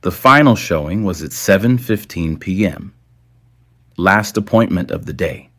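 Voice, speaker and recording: Male narrator, low pitch, relaxed scripted storytelling, dry close sound. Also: unhurried, no room sound.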